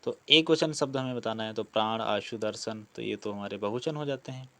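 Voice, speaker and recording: A man's voice: lowish voice, fairly quick, bright, forceful tone. A man teaching an online exam-prep class, reading out quiz questions and explaining the answers, often repeating key words. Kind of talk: online lesson, working through quiz questions.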